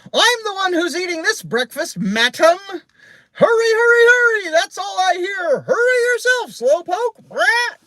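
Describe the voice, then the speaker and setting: A woman voicing a rude, bossy pet parrot with a squawky, shrill voice, repetitive and taunting, in a storybook reading. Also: sing-song, a little slow, fairly bright tone, dry recording, heavily acted. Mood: scolding.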